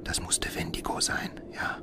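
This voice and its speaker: An actor playing a haunted, exhausted father who narrates his own horror tale in a radio play, brooding and measured. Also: in a whisper, lightly acted. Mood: dread. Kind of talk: scripted first-person radio play narration.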